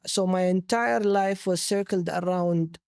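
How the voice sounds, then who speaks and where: A man with a Saudi Arabic accent, telling his personal story conversationally as an interview guest, pausing to search for words. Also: high-pitched, creaky, a little mellow, clean tone, no echo, studio quality. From Jeddah, Saudi Arabia.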